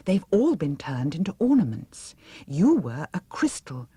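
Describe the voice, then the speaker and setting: Woman as young storybook heroine, girlish, earnest, urgent, very breathy, leaping lively pitch. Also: measured pace, heavily acted. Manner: breathy, urgent, wide-eyed. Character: brave, earnest, excitable young girl heroine.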